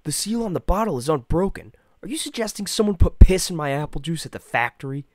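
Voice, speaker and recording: An excitable, gullible young gamer guy from a comic dialogue scene, put on by a man. His pitch is raised and jumps around a lot, with an incredulous edge, all in a dry recording. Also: fast talker, dry recording. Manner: animated, incredulous.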